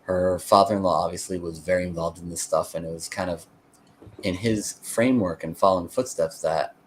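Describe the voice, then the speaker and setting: A man's voice: low voice, fairly even intonation, a little fast, slight background noise. An American man in conversation as a guest, telling his own story in a rambling, explanatory way. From United States (Connecticut). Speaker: interview guest, peace activist.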